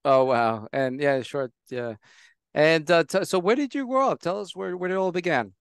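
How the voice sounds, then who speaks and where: A man hosting a casual interview on a video call, enthusiastic and full of wows, with halting phrasing. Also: on the high side, smooth, no echo, studio quality. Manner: enthusiastic, keeps saying wow, halting phrasing. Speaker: host.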